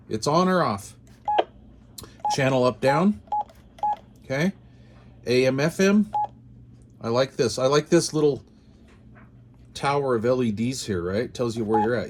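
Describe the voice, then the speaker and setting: A man's voice: mid-range voice, noisy background. A man hosting a casual product unboxing, talking informally and musing aloud in short phrases. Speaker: host of a radio gear channel.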